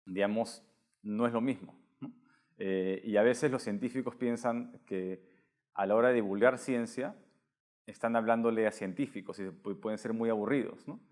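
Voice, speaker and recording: A man's voice: on the low side, moderate pace, a little mellow. A man hosting an interview, framing long conversational questions. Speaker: host, interviewer.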